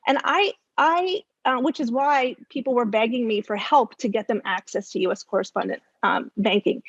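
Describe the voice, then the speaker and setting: A woman speaking off the cuff as a policy expert, halting and emphatic with repeated words. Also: a little high, breathy edge, dry recording, highs cut off. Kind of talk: spontaneous panel commentary.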